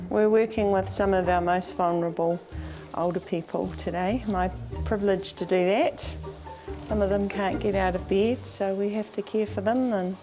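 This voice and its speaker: Brief: New Zealand woman, chatty and cheerful politician on location, mid pitch, faint music under. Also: mellow. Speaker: member of parliament. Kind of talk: casual on-location talk.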